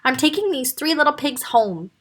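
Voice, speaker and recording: A big, blustering male wolf from a children's storybook, as a woman reads him aloud. He booms out his lines firmly. The voice sits up high and swings around a lot. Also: big pitch swings, normal speed. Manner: booming and firm. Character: big bossy male wolf, blustering but good-hearted.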